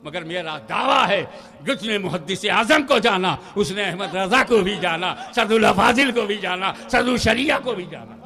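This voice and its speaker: A man delivering an impassioned religious speech, shouting rhythmic refrains to a gathering. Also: high voice, animated, normal speed. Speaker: Islamic preacher.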